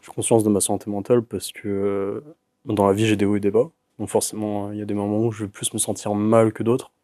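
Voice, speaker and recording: A young man of nineteen, a guest on a youth panel, answering in a thoughtful, measured way. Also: on the low side, flat delivery, warm tone, dry recording, studio quality. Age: young adult. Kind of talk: casual discussion.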